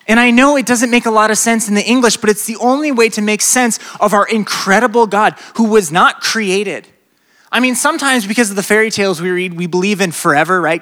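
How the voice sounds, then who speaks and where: A young adult man, a pastor with a slight speech impediment, preaching a lively sermon to students, swinging from loud bursts to hushed stretches. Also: high voice. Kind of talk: sermon to a college crowd.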